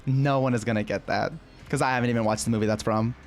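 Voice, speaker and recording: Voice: mid-range voice, steady tone, quick pace. A game streamer comments casually and playfully, reacting to what unfolds. Music from the game sits faintly behind the voice.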